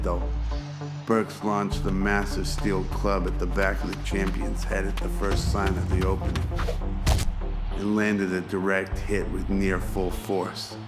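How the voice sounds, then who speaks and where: A man narrating scripted action for an amateur radio drama in a measured storytelling manner. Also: low-pitched. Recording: loud music behind the voice.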